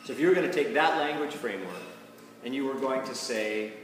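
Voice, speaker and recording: A man's voice: medium pitch, animated, fairly quick. A man moderating an informal discussion in a wordy, deliberating way. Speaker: moderator prompting the speaker. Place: large reverberant room. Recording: distant in echoey hall, music behind.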